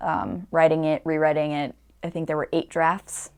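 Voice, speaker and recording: Chatty young woman, low voice. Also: steady tone, moderate pace. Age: 20s. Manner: chatty, trailing restarts and many likes. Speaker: actor guest. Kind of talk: casual podcast interview.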